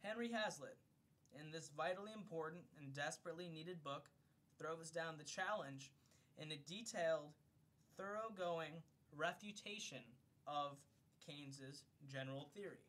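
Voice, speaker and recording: A man's voice: moderate pace, fairly bright tone. A slightly drunk man reading an economics text aloud in an amateur way, declamatory on the page and loose and profane in casual asides. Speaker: amateur reader of a bedtime recording for a friend. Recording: homemade recording with low rumbling noise.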